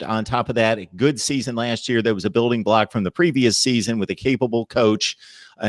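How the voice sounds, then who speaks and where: Lowish dry-sounding guy hosting a sports show, long rambly questions. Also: no echo. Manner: wordy, halting questions. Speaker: show host.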